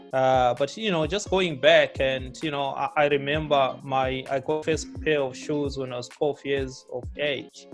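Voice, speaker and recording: A middle-aged man from Zimbabwe, a guest author recalling his childhood in a slow, reflective interview, with many fillers and restarts. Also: medium pitch. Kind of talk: relaxed life-story interview.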